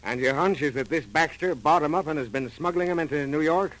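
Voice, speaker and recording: A man's voice: on the high side, a little fast, fairly bright tone, natural voice, poor audio. An American man acting an eager, wisecracking young customs assistant in a 1940s radio crime drama, with snappy, slangy delivery. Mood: wry, keen. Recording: old 1940s radio recording.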